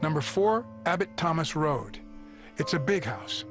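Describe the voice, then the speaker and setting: Worried, higher-voiced businesslike man. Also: sing-song, measured pace, over music. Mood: concerned. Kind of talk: conversational game cutscene dialogue.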